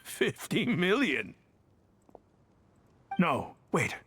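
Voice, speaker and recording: An actor playing a greedy, blustering desert clan chief in a video game, turning between gruff suspicion and effusive eagerness. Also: male voice, fairly high pitch, huge pitch swings, extremely slow, bright, forceful tone, full character voice. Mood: suspicious. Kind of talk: dramatic video game voice acting.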